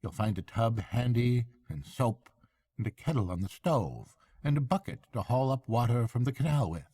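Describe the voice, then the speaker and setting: A man's voice: medium pitch, lively intonation, normal speed, warm tone, husky, dry recording, pitched above the performer's own voice. A man voicing a hearty, shrewd barge woman in a storybook reading, chatty and falsely respectful. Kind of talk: storybook character voice.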